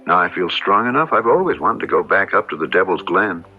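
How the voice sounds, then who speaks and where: Melancholy convalescent man, deliberate literary phrasing, old-time radio drama, low voice, music underneath. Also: big pitch swings, husky, heavily acted. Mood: mild, wistful. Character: haunted, melancholy man recovering from illness, narrating his tale. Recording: muffled old radio recording, music behind.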